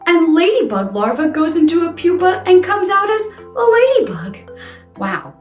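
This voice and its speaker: A woman presents to young children with a very animated voice that swoops up and down. She sits fairly high in pitch and speaks in a playful, exaggerated read-aloud style. The room rings noticeably around her. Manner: exaggerated, exclamatory, asks questions of young listeners. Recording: roomy echo with music underneath. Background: music.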